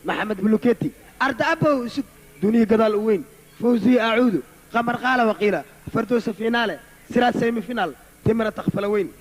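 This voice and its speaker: High-voiced man reeling off joke names. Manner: reeling off silly nicknames. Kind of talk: comic list reading.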